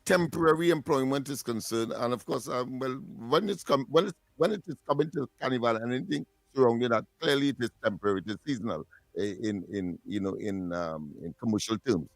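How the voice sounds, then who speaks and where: A man giving measured radio commentary in formal wording with many fillers. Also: medium pitch, vocal fry, no echo. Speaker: radio co-host.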